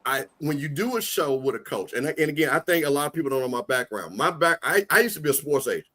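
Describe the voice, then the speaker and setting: A loud, emphatic man hosting a sports talk show, his voice sitting fairly high. He talks fast in bursts, restarting his sentences as he builds to a point. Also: lively intonation. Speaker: show host.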